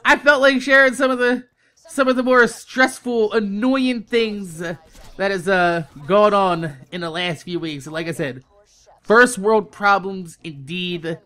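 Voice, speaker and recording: A man's voice: sits high, a little slow. A young American man telling a casual storytime rant as a YouTube host, swearing heavily and repeating himself in exasperation. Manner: profane, exasperated, animated venting with emphatic repetition.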